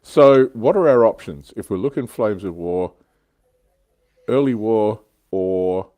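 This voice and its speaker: A man's voice: fairly low pitch, lively intonation, takes it slowly, warm tone, no room sound. A man hosting a casual panel discussion on a hobby livestream. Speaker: host of a tabletop wargaming livestream.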